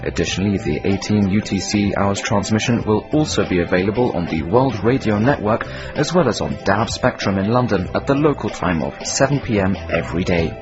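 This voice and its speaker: A man's voice: on the low side, animated, brisk, hardly any pauses. A man reading a scripted station announcement as a radio announcer. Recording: dull lo-fi radio, music behind. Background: music.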